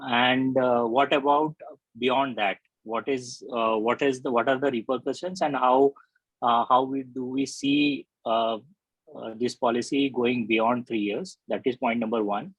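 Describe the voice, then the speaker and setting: Husky man asking questions. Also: mid-range voice, fairly even intonation, no room sound, low-quality recording. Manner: deliberate, hesitant questioning. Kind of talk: questions on a video call.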